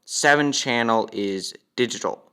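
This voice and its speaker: A man's voice: medium pitch, a little slow, pushed and bright, smooth. A young man giving a casual, matter-of-fact walkthrough as he demonstrates a product.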